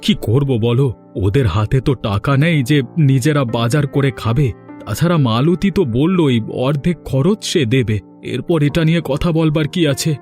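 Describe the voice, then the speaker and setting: A man's voice: a little high, normal speed, darkish tone, faint music behind, close to an everyday voice. A man voicing a kindly, reassuring village family man in a cartoon. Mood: placating. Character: kindly, reassuring village husband, brother and uncle. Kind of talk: Bengali cartoon voice acting.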